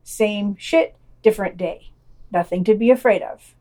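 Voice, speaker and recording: A woman's voice: medium pitch, a little slow, a little mellow. A woman hosting a podcast, reading scripted news summaries and quoted articles aloud. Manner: reads quotations aloud, announcing quote and unquote.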